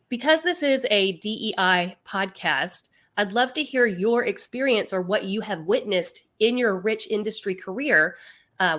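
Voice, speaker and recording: A woman's voice: mid-range voice, a bit bright. A woman hosting a podcast, asking questions in an upbeat, enthusiastic manner. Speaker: podcast host. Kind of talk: conversational podcast interview.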